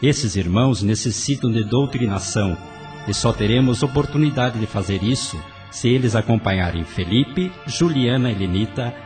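An actor with a Brazilian accent playing a wise, firm spirit doctor in a radio soap, didactic and authoritative. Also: male voice, medium pitch, animated, normal speed, over music, close to an everyday voice. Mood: firm, instructive. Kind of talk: radio soap opera acting.

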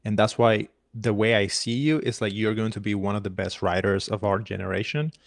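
A man's voice: fairly low pitch, flat delivery, a little fast, gravelly, no room sound, studio quality. A man from Cuba in his thirties, a filmmaker guest on a podcast, telling his story in an earnest, enthusiastic way. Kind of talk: casual interview storytelling.

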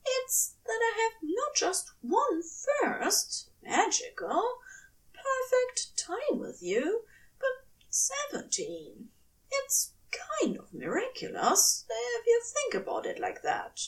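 A woman's voice: very high voice, wildly swooping pitch, takes it slowly, far from the mic, pitched above the performer's own voice, exaggerated voice, poor audio. A woman voicing a tender, prim male angel lover in an audio fan fiction, earnest and coyly hesitant in phrasing. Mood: tender, wistful.

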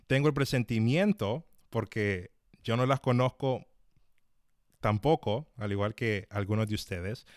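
A man's voice: mid-range voice, clean tone, no echo, studio quality. A man hosting a live-streamed interview, talking with many fillers and restarts. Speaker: host.

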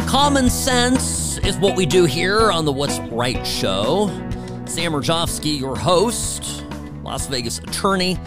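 A man's voice: fairly high pitch, big pitch swings, slow, loud music behind the voice. A man hosting a talk radio show, delivering an opinionated, emphatic and conversational monologue. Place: radio studio.

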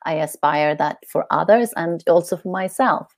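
A woman's voice: fairly low pitch, normal speed, dry recording, studio quality. Earnest middle-aged woman from Sweden. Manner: earnest, searching for words, many "you know"s. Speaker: guest, coach. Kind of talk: telling her life story in an interview.

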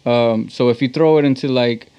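A man's voice: medium pitch, normal speed, clean tone, lo-fi sound. A man from Texas with an American accent, chatting casually as an interview guest and explaining things in an enthusiastic, slangy way. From Texas, United States. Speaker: guest, musician.

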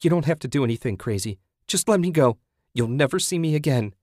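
A man's voice: medium pitch, very animated, normal speed, a little mellow, dry recording, studio quality, voice pushed up. A man voicing a scared but defiant young woman captive in an audiobook, speaking with urgency. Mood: pleading. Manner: urgent, indignant, pleading. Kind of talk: dramatic audiobook character voice.

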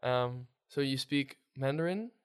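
A man's voice: medium pitch, unhurried, clean tone. A man hosting an interview, asking short, curious follow-up questions in a casual tone. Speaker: host.

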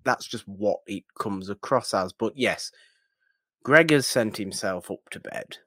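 Low-voiced man chatting about film. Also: a little slow, fairly bright tone, dry recording, clean studio sound. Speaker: podcast co-host. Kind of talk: casual film discussion.

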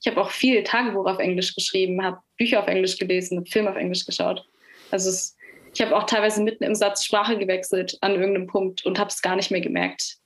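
A woman's voice: mid-range voice, fairly even intonation, fast talker. A young woman from Germany with a Franconian German accent, talking casually as a musician guest on a podcast, with lots of fillers and easy laughs. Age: young adult. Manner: chatty, frequent fillers, laughs easily. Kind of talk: casual interview chat.

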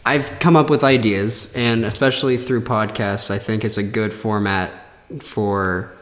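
A man chatting casually as a podcast co-host, halting and rephrasing as he thinks aloud, in a very echoey space. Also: lowish voice, steady tone, a bit bright. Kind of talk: casual chat. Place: echo-heavy indoor room. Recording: cavernous echo, long reverb.

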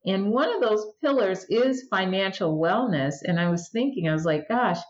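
A woman's voice: medium pitch, moderate pace, muffled recording, a little hiss or hum. A woman hosting a podcast, eager and encouraging toward her guest. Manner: encouraging, eager, repeats words. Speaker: podcast host.

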